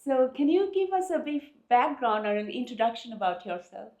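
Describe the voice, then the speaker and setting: A woman's voice: a little high, big pitch swings, a little fast, a little mellow, lo-fi sound. Polite woman interviewer, echoey room. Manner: polite, encouraging, short prompts. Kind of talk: casual interview.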